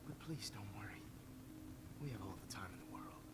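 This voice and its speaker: An actor playing a creepy, obsessive photographer villain in a video game, controlling and menacing, murmuring with sudden outbursts. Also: male voice, on the low side, expressive, heavy background noise, close to an everyday voice. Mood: quietly menacing. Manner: slow, hushed, breathy murmuring with sudden shouts.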